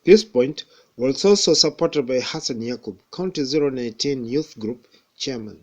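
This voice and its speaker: Male news reporter, Kenyan accent, scripted narration, medium pitch. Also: normal speed.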